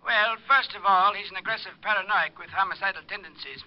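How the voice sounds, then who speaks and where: An actor playing a scholarly, deliberate asylum psychiatrist, hesitant and precise, in old radio drama style. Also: male voice, high voice, natural voice. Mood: clinical. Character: pedantic, deliberate male asylum psychiatrist explaining a madman. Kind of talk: 1940s-50s radio drama acting. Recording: dull vintage radio recording.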